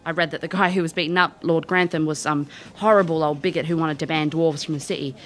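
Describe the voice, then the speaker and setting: A brash but big-hearted female elf played by a woman for an audio drama. Her voice sits fairly low, with a British accent. She talks in slang, wry and cheeky. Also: fairly even intonation, quick pace, faint music behind, lightly acted. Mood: confiding. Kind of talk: audio drama acting and first-person narration.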